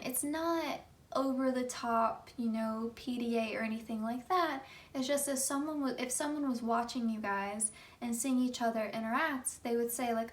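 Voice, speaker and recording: Tarot reader, woman, a bit hoarse, fairly high voice, chatty and filler-heavy, mic set back a little. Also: distant mic. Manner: chatty, many fillers like "you know". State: hoarse from just waking up. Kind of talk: pick-a-card tarot reading.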